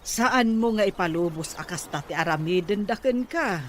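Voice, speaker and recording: A man's voice: high-pitched, expressive, moderate pace, fairly bright tone, slight background noise. A man playing a nosy, sympathetic village aunt in an Ilocano radio drama. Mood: sympathetic, meddling.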